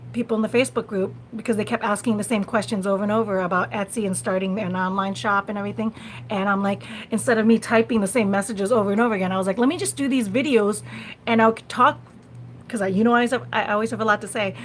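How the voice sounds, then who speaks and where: A woman hosting a casual live vlog, talking conversationally to viewers and often repeating her phrases, recorded on a phone. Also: medium pitch. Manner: conversational, repeats phrases, frequent small laughs. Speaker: live-stream host. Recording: noisy phone recording of a livestream.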